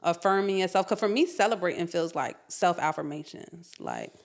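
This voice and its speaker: A woman in her thirties, a podcast guest talking in a candid, animated way, full of "like"s and acted-out quotes. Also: lowish voice, moderate pace, creaky. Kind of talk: casual chat.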